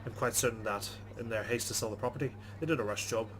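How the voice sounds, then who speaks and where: A man's voice: mid-range voice, quick pace, background noise. A man hosting a let's play, reading game narration aloud with casual commentary.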